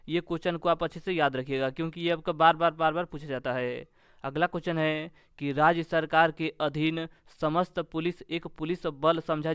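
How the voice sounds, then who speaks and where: A man's voice: a little high, fairly bright tone, smooth, no room sound, clean studio sound. A man teaching in a question-and-answer style, as an exam coach with an instructional manner and repeated set phrasing. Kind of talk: question-and-answer quiz lesson.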